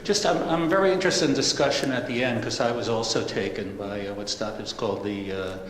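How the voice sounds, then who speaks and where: A man's voice: medium pitch, fairly quick. A man in the audience asking a question from the floor, rambling and hesitant, with many fillers. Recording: echoey hall with long reverb, faint noise.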